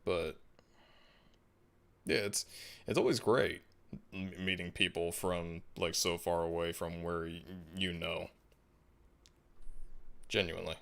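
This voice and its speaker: A man hosting a casual livestream, chatting in a laid-back, musing way with frequent restarts and soft laughs. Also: sits low, steady tone, slow, vocal fry, long pauses. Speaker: livestream host. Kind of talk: casual livestream chat, reading viewer comments.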